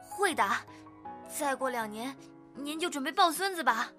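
A woman plays a put-upon young woman in a radio drama. Her voice is high and bright with lively swings in pitch, readily exasperated, over light music. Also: normal speed. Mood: wry, surprised. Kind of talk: modern Chinese radio drama.

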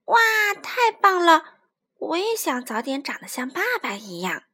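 A woman's voice: high voice, very animated, unhurried, bright, forceful tone, exaggerated voice. A woman voicing an innocent, adoring baby ankylosaurus boy in a storybook, childlike, exclaiming and pleading. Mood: excited, proud.

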